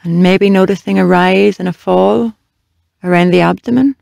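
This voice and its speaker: A meditation guide leading a body scan in a slow, measured, gently instructing way with long pauses. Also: medium pitch, fairly even intonation, creaky, no room sound, studio quality.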